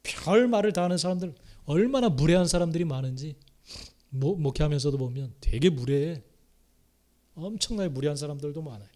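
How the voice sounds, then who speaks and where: A middle-aged Korean man from the Chungcheong region, a pastor preaching a sermon who repeats key phrases and swings between loud exhortation and quiet asides. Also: fairly high pitch. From Korea, Chungcheong province.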